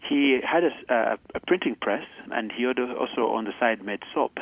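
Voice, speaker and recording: A man from Uganda, a guest on a radio interview, explaining earnestly with some halting restarts. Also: mid-range voice, dry recording. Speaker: guest, charity founder. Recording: phone line.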